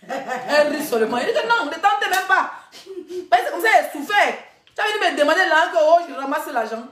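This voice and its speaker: A woman's voice: high voice, big pitch swings, quick pace, a crowd in the background. A woman telling stories casually to followers on a live stream, addressing them directly and repeating herself for emphasis. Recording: echoey room.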